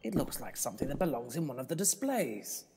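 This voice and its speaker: Man playing an excitable museum hero, echoey hall. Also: mid-range voice, a little fast, creaky. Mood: curious. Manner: exclaims quirky alliterative oaths, theatrical. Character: excitable, kindly, quaint museum caretaker hero. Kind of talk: children's audio drama acting.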